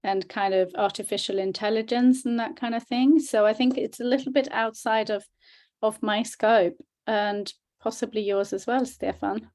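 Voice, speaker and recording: A woman's voice: fairly high pitch, steady tone, normal speed, darkish tone. A woman co-presenting a language question-and-answer session as a teacher, speaking in a chatty, informal, demonstrative way.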